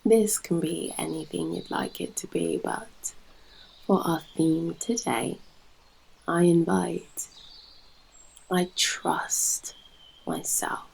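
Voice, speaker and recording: Very slow, breathy British woman guiding meditation. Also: on the low side, in a whisper, low-quality recording. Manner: soft, breathy, soothing, with long pauses. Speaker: yoga nidra guide and host. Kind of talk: guided meditation narration.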